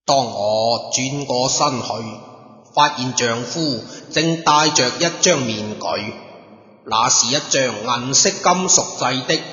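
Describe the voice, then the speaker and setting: A man reading a literary passage aloud in a formal, deliberate manner. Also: mid-range voice, bright, forceful tone. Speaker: reader of a book passage. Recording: dull, echoey long hall reverb.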